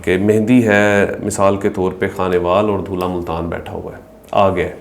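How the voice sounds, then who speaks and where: Low-pitched Pakistani male interviewer. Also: little pitch movement, moderate pace, creaky, slight background noise. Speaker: interviewer, podcast host. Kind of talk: conversational interviewing.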